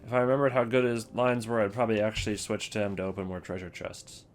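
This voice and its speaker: A man's voice: on the low side, flat delivery, fast talker, soft background music. A man hosting a casual ranking video with wry, offhand commentary. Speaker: video host.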